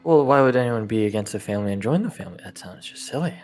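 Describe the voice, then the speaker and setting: A man's voice: lowish voice, expressive, very fast, darkish tone, soft background music. A man playing a tabletop game, improvising casually in a hesitant, mild-mannered way. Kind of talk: casual improvised role-play chat.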